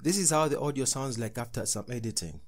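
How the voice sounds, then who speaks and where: A man's voice: mid-range voice, lively intonation, quick pace. A man narrating a step-by-step tutorial as its host.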